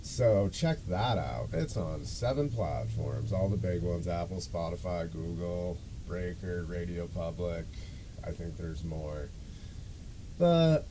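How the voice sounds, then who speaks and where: A man's voice: lowish voice, dark tone, slight background noise, poor audio. A man hosting a podcast, telling a story in a casual, rambling, self-deprecating way with loose swearing. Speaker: podcast and show host.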